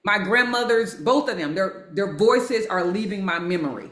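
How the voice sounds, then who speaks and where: A woman with a bright, forceful voice co-hosts a casual chat, firing off quick agreements and stories. The room around her is echoey. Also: mid-range voice, expressive. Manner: quick, affirming interjections. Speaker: co-host. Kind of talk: casual conversation. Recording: roomy echoey sound.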